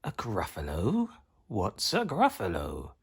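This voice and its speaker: A man voicing a sly storybook snake in a breathy, drawn-out whisper. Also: lowish voice, wildly swooping pitch, full character voice. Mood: puzzled. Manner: slow, drawn-out. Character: sly hissing snake, male, creepily inviting.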